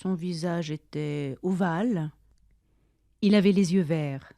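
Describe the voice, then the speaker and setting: Woman reading lesson dialogue real slow, warm mellow tone, dry close sound, careful. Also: on the low side, gravelly, dry recording. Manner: careful, deliberate delivery for learners. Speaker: language-lesson dialogue reader. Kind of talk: slow scripted dialogue, each line read twice.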